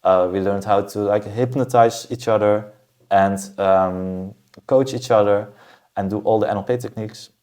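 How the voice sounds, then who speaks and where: A man from Germany, a podcast guest, talking about his path in a steady, thoughtful way with frequent "uh" fillers. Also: on the low side, moderate pace. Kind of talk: casual interview talk.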